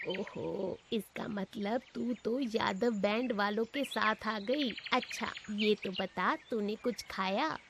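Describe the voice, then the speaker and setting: A woman voicing a kind, motherly old street-cart cook in a cartoon moral story, speaking gently and affectionately. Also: medium pitch, slow pace, vocal fry, few pauses, background noise. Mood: concerned, kindly. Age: older.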